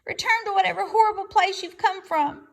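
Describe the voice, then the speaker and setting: A woman doing a squeaky, scared weasel. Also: fairly quick, gravelly. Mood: frightened. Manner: squeaky, panicky. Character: small frightened male weasel.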